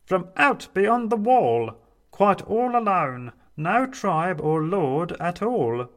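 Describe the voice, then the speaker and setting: A man voicing a big toy henchman who speaks solemnly in slow rhyming chant. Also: high-pitched, very animated, pitched above the performer's own voice, heavily acted.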